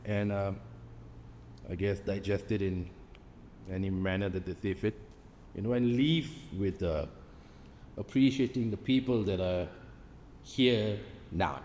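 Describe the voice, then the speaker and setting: A man in a casual cast interview, talking haltingly and repeating words as he thinks. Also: on the low side, a little mellow. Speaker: stage play cast member. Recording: echoey hall, faint background noise.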